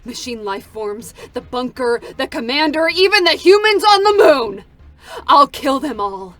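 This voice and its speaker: A hard, vengeful female android fighter, all bitter threats and curses. Her voice sits high and sharp for a woman. Soft music plays underneath. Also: very animated, a little slow, dry recording, heavily acted. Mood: furious. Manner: snarling, curses often, shouts in anger. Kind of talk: live staged reading of game dialogue.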